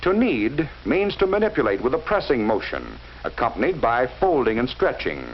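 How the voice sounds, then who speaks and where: 1940s film narrator, man, lively lo-fi. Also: mid-range voice. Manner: measured, instructive, addresses the viewer directly. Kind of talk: scripted educational film narration. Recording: dull lo-fi 1940s film soundtrack with faint hiss.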